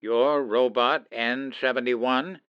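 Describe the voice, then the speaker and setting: A man's voice: mid-range voice, steady tone, slow, clear, resonant tone, dry recording, muffled recording, lightly acted. A man playing an authoritative, protective middle-aged father and officer in an old-time radio drama, urgent and theatrical. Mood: stern, probing. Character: commanding, protective father, suspicious military officer.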